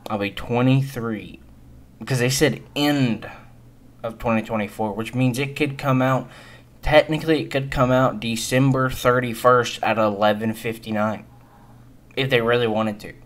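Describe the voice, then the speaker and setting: A man's voice: medium pitch, steady tone, normal speed. A man of twenty-one giving casual, unscripted gaming commentary in a hesitant, rambling and resigned manner. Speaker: gaming video creator.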